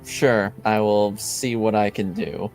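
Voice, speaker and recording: A man playing a reserved, wary Templar in improvised tabletop roleplay, speaking in an understated, deadpan way. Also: lowish voice, slow, faint music behind. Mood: guarded, wary. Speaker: game master.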